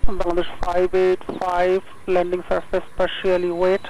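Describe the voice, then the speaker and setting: A man's voice: sits high, monotone, a little slow, a little mellow, lo-fi sound. A man working as an air traffic controller, giving clipped, formulaic instructions over aviation radio. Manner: procedural, formulaic delivery.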